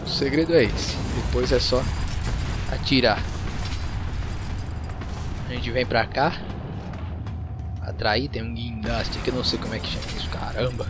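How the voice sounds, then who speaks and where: A man's voice: mid-range voice, steady tone, normal speed, pauses often, over music. A man with a Brazilian accent gives casual, playful Let's Play commentary, joking and talking to himself as host of a gaming video. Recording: clear game noise behind voice. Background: video game ambience and mechanical sounds.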